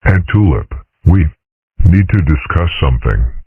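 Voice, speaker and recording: Casting: a man voicing a stern cartoon dragon father, measured, husky, dry close lo-fi sound. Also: expressive, no echo, heavily acted. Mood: firm. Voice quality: husky, very deep. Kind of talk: comic strip dub. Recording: muffled phone-like band.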